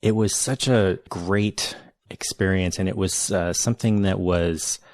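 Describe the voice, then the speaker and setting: Podcast host guy, really low voice with lots of fry, earnest and keen. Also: steady tone, unhurried, a little mellow, no echo, studio quality. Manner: earnest, enthusiastic, hesitant with restarts. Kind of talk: read intro then casual interview chat.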